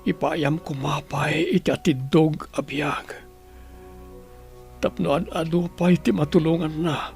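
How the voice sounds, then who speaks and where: Man acting a gravelly, anguished Ilocano husband. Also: on the high side, unhurried, a little mellow, soft background music. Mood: anguished pleading. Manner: pleading, prayerful. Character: anguished, remorseful husband praying for his sick wife. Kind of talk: Ilocano radio drama acting.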